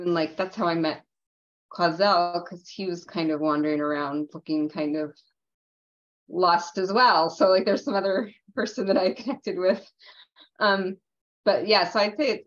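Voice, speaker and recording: A woman's voice: fairly low pitch, fairly bright tone, lo-fi sound. A woman panelist talking hesitantly and casually over a video call, with lots of "like" and "kind of". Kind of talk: online panel talk.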